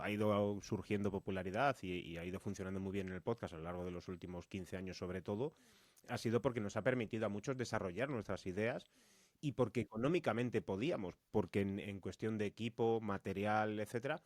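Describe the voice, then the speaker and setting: A man's voice: fairly low pitch, dry recording. A man with an accent from Spain co-hosting a podcast, explaining points conversationally and with emphasis. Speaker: podcast host.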